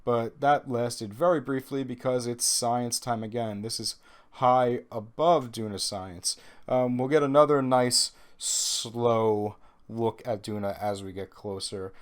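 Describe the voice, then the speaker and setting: A man's voice: mid-range voice, smooth. A man with a Brooklyn accent, a little nasal from a cold, giving chatty, self-deprecating voice-over commentary on his gameplay. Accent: New York (Brooklyn). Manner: chatty, self-deprecating, rambling with ums and restarts. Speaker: gaming video host.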